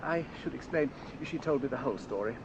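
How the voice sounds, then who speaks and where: A mild priest detective, played by a man in an old radio play. He explains things in a gentle, hesitant way at a middling pitch. The recording is muffled and noisy. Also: lively intonation, fast talker, natural voice. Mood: apologetic. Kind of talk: period radio drama acting.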